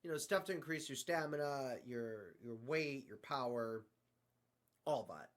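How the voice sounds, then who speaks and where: A man telling a story in a casual, improvised way, haltingly and often searching for his words. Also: medium pitch, animated, fairly bright tone.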